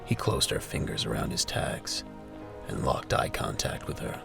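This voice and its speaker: A man's voice: extremely low pitch, normal speed, creaky. A man reading scripted narration for a military audio drama as its narrator. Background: music.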